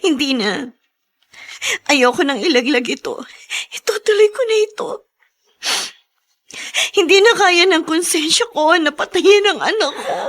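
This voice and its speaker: A troubled younger sister in a radio drama. She is a grown woman who speaks earnestly and in a subdued way, with quiet determination. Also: very high-pitched, animated, unhurried, pauses often, heavily acted. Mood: quietly determined. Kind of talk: Tagalog radio drama acting.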